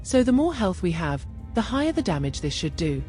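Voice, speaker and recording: Woman doing a game build guide voiceover, bit low voice but really animated, music playing behind her. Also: a little fast. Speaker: gaming video creator and narrator.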